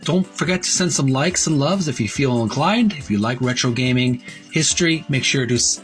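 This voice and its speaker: A man hosting a retro gaming video, talking casually in a halting, wordy way, rephrasing himself as he shows and reads printed material. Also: medium pitch, fairly bright tone, faint music behind. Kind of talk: casual narrated show-and-tell, reading printed ad copy aloud.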